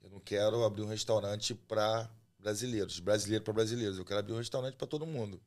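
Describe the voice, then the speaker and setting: A man from Rio de Janeiro with a Carioca accent, a chef chatting as a guest on a podcast in a relaxed, talkative way. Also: fairly low pitch, quick pace. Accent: Carioca Brazilian Portuguese. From Rio de Janeiro, Brazil. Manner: relaxed, chatty, often ends phrases with a tag question. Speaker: chef and restaurant owner, guest. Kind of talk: casual podcast interview.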